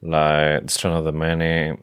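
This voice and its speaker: Very deep-voiced podcast co-host, dry sound. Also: completely flat delivery, unhurried, clear, resonant tone, no room sound. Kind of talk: casual book discussion.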